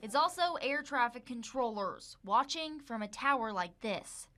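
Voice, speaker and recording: A woman's voice: fairly high pitch, expressive, a little slow, a bit bright. An American woman working as a television news reporter, delivering a live report with scripted narration. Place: on location at an airport for live segments.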